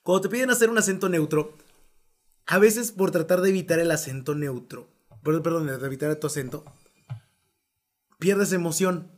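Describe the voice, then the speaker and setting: A Mexican man co-hosting a podcast, explaining things in an animated, slangy casual chat. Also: on the high side, normal speed, long pauses. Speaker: podcast co-host.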